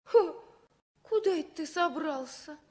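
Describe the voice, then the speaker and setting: An actress playing a naive, lively little girl, exclamatory and childlike, in a 1960s Soviet radio play. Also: very high-pitched, a little slow. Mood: childlike wonder.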